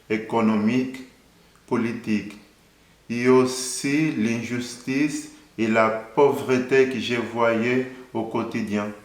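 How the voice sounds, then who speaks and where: A man in his thirties from Angola, a Portuguese speaker, calmly and earnestly sharing his faith story in an interview. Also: on the low side, reverberant. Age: 30s. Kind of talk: personal faith testimony.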